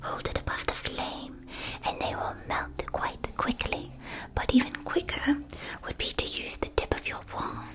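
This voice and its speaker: A woman playing a gracious, gushing shopkeeper of witch school supplies in an ASMR roleplay, speaking in a soft, breathy whisper with genteel, formal phrasing. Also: close to an everyday voice. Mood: gracious, helpful. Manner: genteel, unhurried, formal old-fashioned wording.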